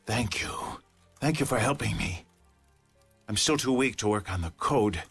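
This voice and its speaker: An earnest gentleman treasure hunter from an adventure game. His voice is bright and a little high, with a dramatic, swooping delivery over soft music. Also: animated, moderate pace, husky, full character voice. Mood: weary, grateful. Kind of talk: video game character acting.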